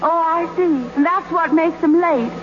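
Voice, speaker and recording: Sweet, coy young sweetheart, very high and gently hesitant, noisy 1930s radio romance. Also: female voice, unhurried, highs cut off. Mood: coy, hopeful. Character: sweet, coy young woman coaxing her shy sweetheart.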